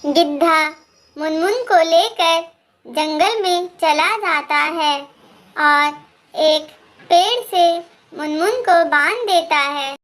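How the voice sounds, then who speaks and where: A woman narrating a scripted children's animated moral story. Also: very high voice, slow.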